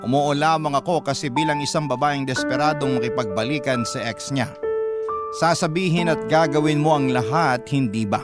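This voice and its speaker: A man's voice: mid-range voice, moderate pace. Male storyteller over a prominent music bed. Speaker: host and storyteller. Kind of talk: dramatic first-person story narration. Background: background music.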